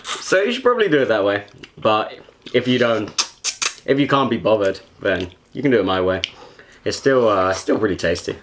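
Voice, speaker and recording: A man's voice: lowish voice, expressive, normal speed, slight background noise. A young man, chatting casually about his home cooking in a laid-back, slangy, joking way. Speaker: home cook.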